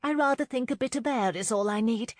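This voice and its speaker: A woman's voice: on the high side, a little fast, darkish tone, dry recording, voice pushed up, natural voice. A woman voicing a nervous young countess who falters and stammers politely in an audiobook novel. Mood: nervous, over-bright. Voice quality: breathy murmuring, faltering. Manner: hesitant, stammering.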